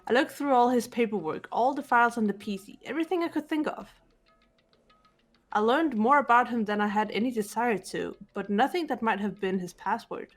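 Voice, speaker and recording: Aloof teenage female sleuth acted by a woman, game dialogue, measured and curt, higher register. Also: lightly acted. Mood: cool, frustrated. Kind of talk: amateur voiced video game dialogue. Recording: music behind the voice.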